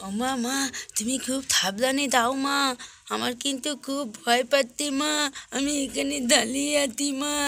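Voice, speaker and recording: A woman's voice: high voice, steady tone, moderate pace, vocal fry, fairly bright tone, pitched above the performer's own voice. A woman voicing a frightened but eager little girl with a babyish lisp in a children's cartoon. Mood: anxious, pleading.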